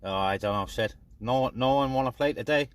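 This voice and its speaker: A man's voice: mid-range voice, brisk. A British man casually chatting as a radio hobbyist, making CB calls and talking to his viewers. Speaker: radio hobbyist vlogger and CB operator. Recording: noisy, clicky radio hiss.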